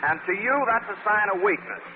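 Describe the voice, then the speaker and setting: A man's voice: sits high, very animated. An actor playing a flippant, wisecracking man reporter in 1940s radio acting style, on a tinny, hissy broadcast. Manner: quick, wry, joking banter.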